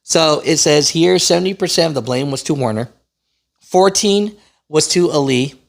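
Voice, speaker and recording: A man with a slightly high voice and a noticeable creak delivers casual news commentary. He moves between reading aloud and explaining in plain, opinionated talk, often checking in with the listener like a channel host. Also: moderate pace. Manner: conversational, opinionated, often checks in with "right?" and "you know".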